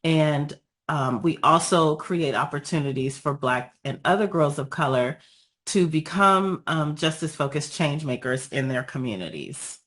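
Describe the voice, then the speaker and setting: A woman with a raspy voice after being ill, giving an earnest, measured presentation over a video call with frequent pauses and ums. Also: sits low, a bit bright. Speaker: guest speaker, nonprofit founder.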